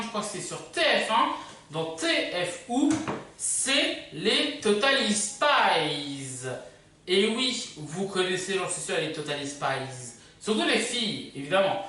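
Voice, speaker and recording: Very echoey, high-pitched woman presenter. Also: a bit bright, a little hiss or hum. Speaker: video host. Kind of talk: casual top-list countdown presentation. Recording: very echoey, distant from microphone.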